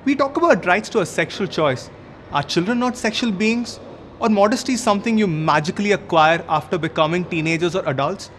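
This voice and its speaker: A man's voice: sits high, fairly quick, a bit bright, slight background noise. An actor playing an exasperated, indignant man, arguing rhetorically in a short film monologue. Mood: outraged. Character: outraged, exasperated man arguing his point.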